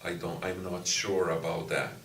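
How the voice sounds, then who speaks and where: A middle-aged man from Brazil whose first language is Portuguese, a university professor answering interview questions in a slow, thoughtful way with frequent pauses and restarts. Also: low-pitched, level tone, gravelly, fairly bright tone, a little hiss or hum. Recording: echoey room, distant mic, faint ambience.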